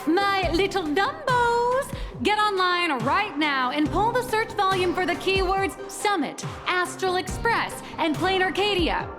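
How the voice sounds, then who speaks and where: A woman's voice: extremely high pitch, lively intonation, unhurried. An actress playing a shrewd, playful, bossy female magician in a game, theatrical and knowing in her delivery. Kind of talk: game voice acting. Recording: reverb, faint music behind.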